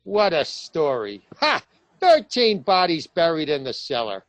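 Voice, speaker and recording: A man acts a brusque police lieutenant in a comedy for radio. He is impatient and incredulous, with big swings in pitch and a close, dry sound. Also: huge pitch swings, measured pace, fairly bright tone, no echo, exaggerated voice. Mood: incredulous, annoyed. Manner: gruff, incredulous, no-nonsense. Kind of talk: stage comedy acting for radio theatre.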